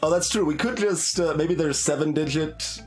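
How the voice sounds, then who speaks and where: A man's voice: fairly high pitch, moderate pace. A man giving casual live commentary on a game playthrough, hesitant and thinking aloud as he talks. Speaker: game streamer. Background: game music, guitar.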